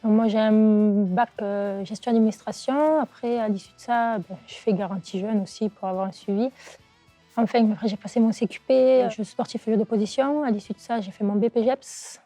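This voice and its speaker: A woman's voice: medium pitch, fairly quick, dark tone, no echo, soft background music. A woman in her twenties plainly listing her diplomas and jobs. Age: 20s. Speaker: interviewee. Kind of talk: spontaneous personal account.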